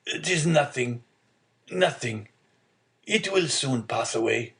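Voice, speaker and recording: A frail old father from a classic storybook, put on by a man reading aloud. He speaks in a raised voice, meek and halting. His manner is gentle and self-denying, always placating. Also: medium pitch, lively intonation, pitched above the performer's own voice. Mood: weak, reassuring.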